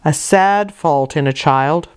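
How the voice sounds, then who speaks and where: A woman voicing a stern, pompous, pious middle-aged gentleman in a storybook reading, moralizing with deliberate weight. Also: low voice, clean tone. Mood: sanctimonious. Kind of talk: dramatized audiobook reading.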